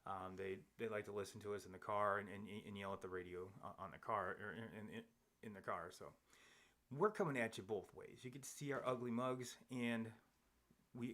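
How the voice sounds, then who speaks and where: A man's voice: fairly low pitch, a bit bright. A man hosting a live sports podcast, chatting casually and rambling with many restarts and fillers. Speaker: podcast host. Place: home studio, seated across from co-host.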